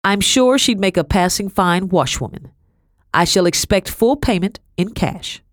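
A woman's voice: medium pitch, unhurried, no echo, voice pushed up, close to an everyday voice. Snooty imperious colonial madam, clipped and indignant, a woman narrator acting her, audiobook, dry. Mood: haughty. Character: haughty, demanding colonial mistress, indignant and cold.

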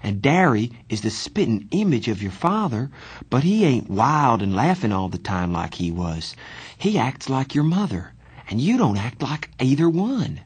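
A man voicing a shy, earnest teenage boy in a story, with a halting, plain-spoken manner. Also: mid-range voice, big pitch swings, breathy edge. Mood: hesitant, earnest.

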